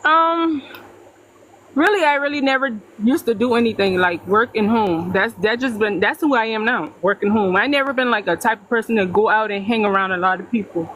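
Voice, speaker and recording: Relaxed South Carolina woman. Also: a little high, a little fast, slight background noise, poor audio. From Charleston, South Carolina. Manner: relaxed and teasing. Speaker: caller, single mother. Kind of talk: casual chat.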